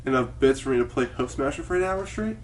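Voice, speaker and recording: A man playing an idealistic, excitable young pony cub reporter in a radio play, dramatic and fervent. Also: mid-range voice, fast talker, recorded from a distance, slight background noise, close to an everyday voice. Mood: excited. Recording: echoey room, off-mic.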